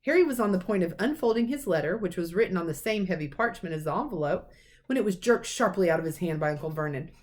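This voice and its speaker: A woman reading a children's storybook aloud as a teacher, with expressive narration. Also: lowish voice, quick pace. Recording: clearly noisy recording with clicks.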